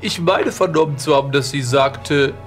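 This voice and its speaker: A man's voice: on the high side, normal speed, soft background music, natural voice. A man voicing a snobbish, sardonic lord with a condescending, sarcastic way of talking, in a tabletop audio drama. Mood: haughty, offended.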